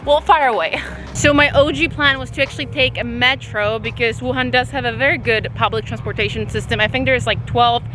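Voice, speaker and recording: A chatty, excitable young woman from Poland, vlogging casually as she travels through city streets and taxis, laughing often. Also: on the high side, fairly quick. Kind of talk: casual travel vlog to camera. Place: outdoors on city streets and in a taxi. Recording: noisy outdoor vlog with loud crowd and music. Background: loud street crowd and music.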